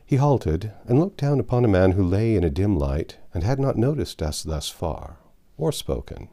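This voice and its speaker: A man narrating a classic novel aloud as an expressive literary reading. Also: on the low side, moderate pace. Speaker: audiobook narrator.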